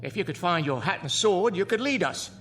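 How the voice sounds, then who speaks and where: Casting: world-weary mocking clown for radio play; grand, wordy, lightish pitch, very lively swings. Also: male voice, fairly quick, faint music behind. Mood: grandly mocking. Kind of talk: radio drama acting.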